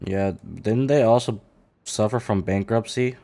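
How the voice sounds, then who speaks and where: A man's voice: on the low side, measured pace, dark tone. A man streaming a video game, giving casual, offhand and joking commentary. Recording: music behind the voice. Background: game music.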